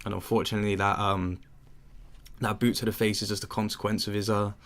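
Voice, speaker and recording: A man's voice: lowish voice, level tone, a little fast. A young man hosting a football watchalong, commentating casually and chatting with viewers, rambling with fillers. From England. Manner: chatty, rambling with fillers, answers chat questions. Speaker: watchalong host.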